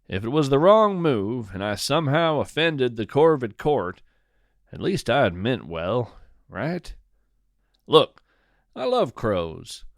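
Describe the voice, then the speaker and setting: A man's voice: medium pitch, dry recording, studio quality. A man hosting a podcast, reading scripted first-person whimsical fiction with wry, conversational asides. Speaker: podcast host and narrator.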